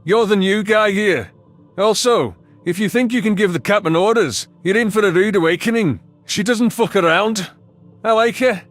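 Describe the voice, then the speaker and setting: A cheeky little-sounding alien male performed by a man talks in a very high register. He is brash, flippant and sardonic, a science fiction audio drama figure over faint music. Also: normal speed, lightly acted. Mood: brash amusement.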